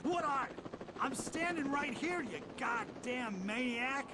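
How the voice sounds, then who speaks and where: A blustering, indignant bystander in a video game. His pitch is high and swings widely. Heavy noise of gunfire and vehicles is behind him. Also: expressive, takes it slowly, full character voice. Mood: outraged.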